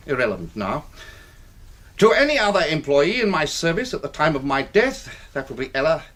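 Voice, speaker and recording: A man's voice: fairly high pitch, a bit bright, slight background noise. An older man with a British accent, playing an irascible, bereaved, pompous retired army colonel in a radio drama. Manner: pompous, blustering, sardonic.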